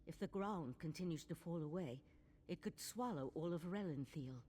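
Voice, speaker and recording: An actress playing a wary, serious female adventuring companion in a fantasy game, measured and thoughtful. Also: low-pitched, lively intonation, a little mellow, a little hiss or hum. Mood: wary, concerned. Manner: measured, concerned.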